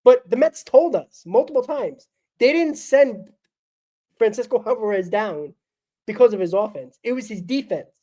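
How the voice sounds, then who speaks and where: A woman's voice: on the high side, expressive, normal speed, mellow. A woman hosts a solo postgame fan show, ranting bluntly and with exasperation while sick, her voice crackling and coughing.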